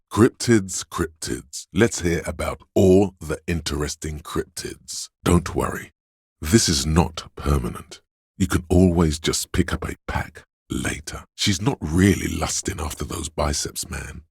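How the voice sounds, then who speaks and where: A hedonistic tempter living inside someone's head in a video game. The voice is rock-bottom deep, thick with gravel and heavily husky. It coaxes slyly and indulgently toward every vice. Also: male voice, dry recording, clean studio sound, heavily acted. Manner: sly, indulgent, coaxing. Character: seductive hedonistic inner voice craving drugs and vice. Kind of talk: video game character voice lines.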